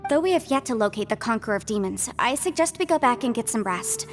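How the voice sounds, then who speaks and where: A woman playing an analytical, polite young investigator in a video game, measured, articulate and thoughtful. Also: fairly high pitch, lively intonation, over music. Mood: composed, concerned.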